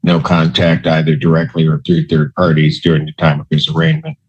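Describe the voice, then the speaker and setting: Male judge, really deep voice, short official rulings, authoritative, bit muffled and noisy. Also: level tone, fairly quick. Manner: terse, authoritative. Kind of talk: formal court rulings. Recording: muffled audio with faint noise.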